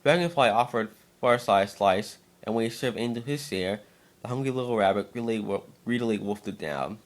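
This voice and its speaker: A man's voice: lowish voice, fairly quick. A man narrating a scripted story as an amateur dramatic reading, sometimes stumbling over and repeating words.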